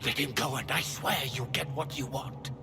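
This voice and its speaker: A man's voice: medium pitch, fairly even intonation, normal speed, natural voice. A man playing a broken, remorseful older mage and father in a video game, grave and theatrical. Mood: pleading. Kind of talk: dramatic video game acting. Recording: loud music behind the voice.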